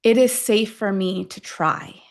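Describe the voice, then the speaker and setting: A woman guides a self-affirmation session at a medium pitch. She speaks in short, deliberate phrases and leaves long pauses between them, in the style of a guided meditation.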